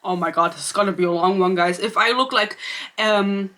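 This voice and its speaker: A woman's voice: mid-range voice, fairly quick, pushed and bright. A young woman with a German accent, ranting sarcastically and swearing freely in a casual book review vlog to camera.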